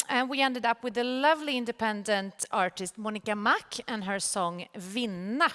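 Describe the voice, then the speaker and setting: A woman's voice: on the high side, normal speed, fairly bright tone. A woman giving a conference presentation as a producer. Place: conference hall stage. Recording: reverberant hall, stage sound system.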